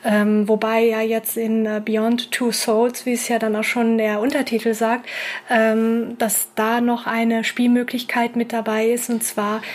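A woman's voice: a little high, darkish tone. A woman co-hosting a podcast in casual chat about games, hesitant with many fillers. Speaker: podcast co-host.

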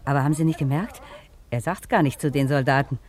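A woman's voice: sits low, quick pace, darkish tone, natural voice. An actress in a radio play playing a poor, cheated old woman, confiding and obsessively insistent about her rights. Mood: fixated, insistent. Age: older.

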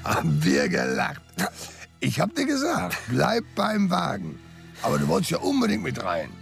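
A street-tough, drily amused sidekick in a radio crime play. His voice is heavily creaky and moves animatedly in pitch. He mocks in loose everyday speech over faint music. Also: huge pitch swings, moderate pace, a bit bright, heavily acted. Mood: mocking, amused. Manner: colloquial, mocking. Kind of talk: German radio crime drama acting.

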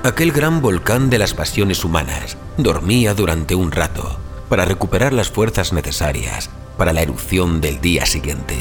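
Deep-voiced male dramatic audiobook narrator. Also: very animated, normal speed, hardly any pauses. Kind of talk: scripted dramatic literary narration. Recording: clear music bed behind narration.

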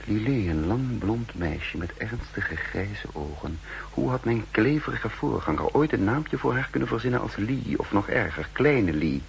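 An actor playing a self-assured spy who recounts his undercover life in measured, formal 1960s radio drama narration. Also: fairly low pitch, expressive, gravelly. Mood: wry, reflective. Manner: measured, formal, literary storytelling. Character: self-assured male spy posing as a counterintelligence chief, recounting his story. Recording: 1960s radio recording with hum and noise.

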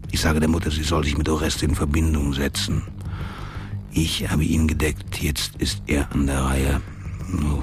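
An actor playing a crude convict who is dying and afraid of death, brooding in a radio drama monologue. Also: male voice, very deep voice. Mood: bitter. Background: music.

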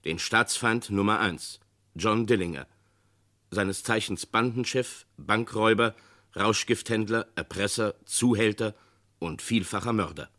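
A male narrator of a vintage radio play with a slightly low voice. He speaks gravely and evenly, setting out each line with care. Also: bright, forceful tone. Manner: grave, measured. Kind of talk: scripted narration.

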